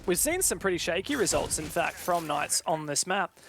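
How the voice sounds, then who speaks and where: A man's voice: on the high side, sing-song, moderate pace, fairly bright tone. A man doing live play-by-play commentary on an esports match in clipped, dramatic phrases.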